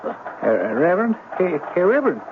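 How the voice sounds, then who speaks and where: A high-pitched young American man, folksy and weary, talks haltingly and respectfully like a put-upon sidekick. The old radio drama recording is thin and clearly noisy. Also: wildly swooping pitch. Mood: hesitant. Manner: folksy, hesitant, deferential. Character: plain-spoken, weary young male sidekick of a swindler. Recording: noisy, tinny vintage radio broadcast.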